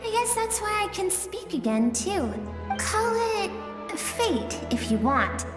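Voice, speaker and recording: A woman voicing a gentle female spirit guide in a video game, speaking in a measured, wistful, explaining way. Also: extremely high pitch, very animated, exaggerated voice. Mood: mysterious, friendly. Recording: echoey reverberant voice, music behind.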